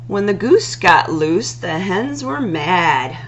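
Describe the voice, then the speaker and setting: A woman hosts a children's storytime, reading rhyming stories with a singsong lilt and stretched-out words. Her pitch swings widely and her voice has a gravelly creak. There is a faint hum and light noise in the recording. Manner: expressive, singsong delivery with drawn-out words. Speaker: library storytime host. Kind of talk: animated read-aloud of rhyming picture books.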